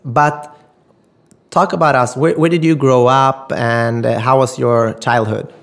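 A man's voice: medium pitch, steady tone, unhurried, gravelly. Man host, mid-range voice, formal intro reads, then loose and stumbling questions. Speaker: interview host. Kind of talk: podcast interview.

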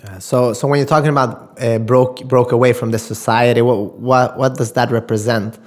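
A man's voice: medium pitch, steady tone, normal speed. Man hosting an interview. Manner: reads a formal introduction, then asks questions with repeated words. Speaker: interview host. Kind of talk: podcast interview.

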